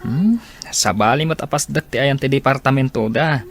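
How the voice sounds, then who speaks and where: A woman's voice: a bass voice, moderate pace, a little hiss or hum. A woman playing a matter-of-fact young student in a radio drama.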